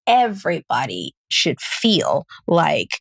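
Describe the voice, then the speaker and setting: A woman's voice: deep voice, wildly swooping pitch, takes it slowly, gravelly, breathy edge. A woman from Cameroon who is a reproductive endocrinologist, speaking as an interview guest in a blunt, plain-spoken, joking way. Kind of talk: casual interview conversation.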